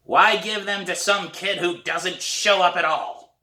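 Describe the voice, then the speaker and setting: A raspy, stern old woman from a storybook, acted out by a man, scolds and needles in a slow, drawn-out way. Her hoarse voice is pushed forward and sharp, in a room with noticeable echo. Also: sits high, distant mic, pitched above the performer's own voice, heavily acted. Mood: blunt, scolding.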